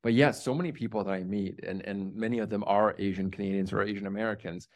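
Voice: low-pitched, fairly even intonation, fast talker. An interview host asking reflective questions, with frequent murmurs of agreement. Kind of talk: conversational interview.

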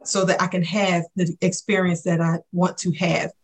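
A woman's voice: on the low side, flat delivery, moderate pace. A woman in her forties, a business coach talking in a casual podcast chat, enthusiastic and often tagging "right?" onto her points.

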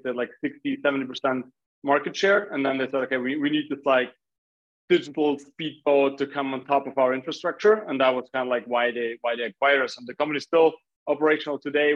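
A man's voice: mid-range voice, fairly even intonation, fast, lo-fi sound. A man from Austria with an Austrian accent, talking casually as a founder being interviewed on a podcast. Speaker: startup founder, podcast guest.

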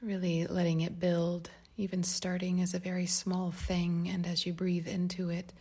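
A woman leading a guided meditation in a calm, gentle, unhurried way, with a breathy voice that softens toward a whisper. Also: lowish voice. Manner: calm, unhurried, gentle phrasing with long pauses. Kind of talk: guided meditation, slow and soft instruction.

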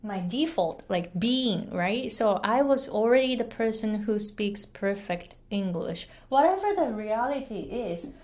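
Japanese-accented woman, unhurried coaching vlog, earnest and chatty with "right?" tags. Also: mid-range voice, expressive, darkish tone. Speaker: English pronunciation coach. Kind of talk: casual motivational talk to camera.

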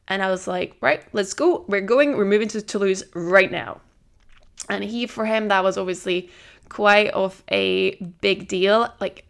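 A woman, hosting a casual solo podcast and chatting excitedly with lots of "like" fillers. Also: mid-range voice, gravelly. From Norway. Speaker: podcast host.

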